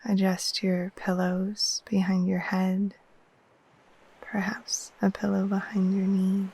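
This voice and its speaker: A woman leads a guided sleep meditation in a soft, breathy near-whisper. Her tone is mellow and very even, with little rise or fall. She speaks very slowly and gently, leaving long, soothing pauses. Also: mid-range voice, poor audio. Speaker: yoga nidra guide. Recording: faint steady wash of ocean waves.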